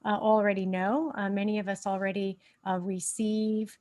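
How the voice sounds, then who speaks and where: Stuffy-nosed woman host, mid pitch, loose rambling delivery with lots of ums. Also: little pitch movement, normal speed, a little mellow. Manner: rambling, many fillers and restarts. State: stuffy nose. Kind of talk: casual spiritual livestream talk.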